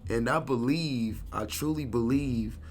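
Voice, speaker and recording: A man's voice: mid-range voice, unhurried, a little hiss or hum. A man in his thirties from Kansas City, a rapper talking loosely and slangily as an interview guest. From Kansas City, USA. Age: 30s. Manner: laid-back, slangy, rambling. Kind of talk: casual interview chat.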